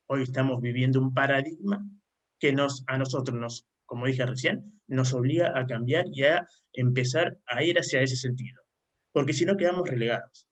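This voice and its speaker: Argentine man tagging points with "¿sí?". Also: medium pitch. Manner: frequent questioning "¿sí?" tags, explanatory. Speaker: panelist. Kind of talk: online panel talk. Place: remote online conference.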